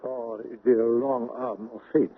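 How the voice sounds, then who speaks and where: Slow, raspy, sage confidant. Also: male voice, mid-range voice, little pitch movement, narrow, tinny audio. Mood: calm, probing. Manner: philosophical, formal, deliberate. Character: sage, measured male confidant named Chung Lee. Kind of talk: radio drama acting. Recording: band-limited old radio recording.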